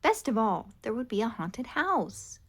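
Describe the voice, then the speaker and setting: A woman reads a children's picture book aloud, expressive and animated. She takes her time, letting her voice rise and fall widely. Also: on the high side, unhurried, breathy edge. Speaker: storybook reader. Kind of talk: children's read-aloud narration.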